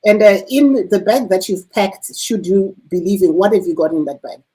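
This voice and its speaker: Mellow-voiced female radio interviewer. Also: mid-range voice, low-quality recording. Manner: measured questioning with uh fillers. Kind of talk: broadcast interview.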